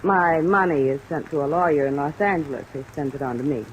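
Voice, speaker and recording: A woman's voice: deep voice, fairly quick, highs cut off, close to an everyday voice. An actress playing a polite, secretive woman on the run in an old radio drama, composed and apologetic. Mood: polite, guarded. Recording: vintage radio recording, rain noise.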